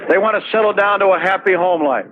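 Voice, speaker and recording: High-voiced male host on tinny radio. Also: fast talker. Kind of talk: talk radio hosting. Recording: phone-like lo-fi 1980s radio recording, crowd behind.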